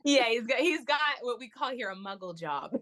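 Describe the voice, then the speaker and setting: A woman's voice: a little high, lively intonation, fairly quick, fairly bright tone. Chatty, upbeat young woman guest. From Florida, US. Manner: chatty and upbeat, laughs often. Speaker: guest, touring musical theater performer. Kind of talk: casual interview chat.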